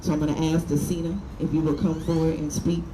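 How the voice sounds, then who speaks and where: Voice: mid-range voice, level tone, quick pace. A rally organizer giving a blunt, emphatic speech outdoors and calling up the next speaker, swearing for emphasis. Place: outdoors at a city hall rally. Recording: echoey, with crowd and street noise.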